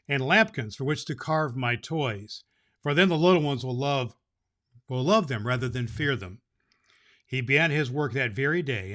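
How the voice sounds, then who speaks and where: A man performs a jolly old Santa Claus figure telling storybook tales for children. His voice sits somewhat high for a man. He is animated and merry, speaking straight to young listeners as their storyteller and host. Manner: jolly, animated, booming ho-ho laughs. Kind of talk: storybook reading and address to children.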